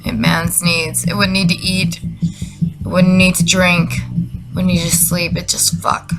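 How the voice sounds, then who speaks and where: Creaky-voiced woman in sarcastic comedy commentary. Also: on the low side, flat delivery, measured pace, fairly bright tone, rough recording. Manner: sarcastic, rambling, incredulous asides. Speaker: comedy video creator. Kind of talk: deadpan comedic commentary and dramatic reading. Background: music.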